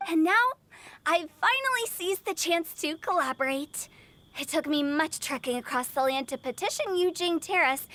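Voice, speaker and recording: A woman's voice: very high-pitched, fairly bright tone, full character voice. A woman voicing a quirky, mischievous young woman in a video game, chipper and teasing with flowery, theatrical phrasing. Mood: proud, grand. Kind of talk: animated game dialogue acting.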